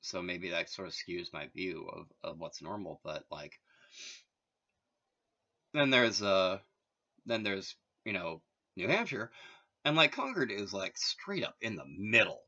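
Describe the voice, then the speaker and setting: Rambling low-voiced man, lo-fi. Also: animated, unhurried, pauses often, pushed and bright. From Massachusetts, USA. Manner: meandering, heavy filler words, wry. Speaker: vlogger. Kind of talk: rambling casual monologue.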